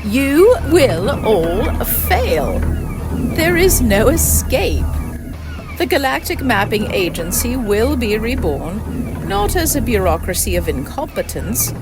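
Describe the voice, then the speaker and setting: Casting: imperious villain empress, grandiose sci-fi comedy audio drama, hugely swooping delivery, music underneath. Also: female voice, high voice, huge pitch swings, full character voice. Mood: grandiose menace. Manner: grandiose, slow menacing delivery. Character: imperious female galactic empress villain, haughty and theatrical.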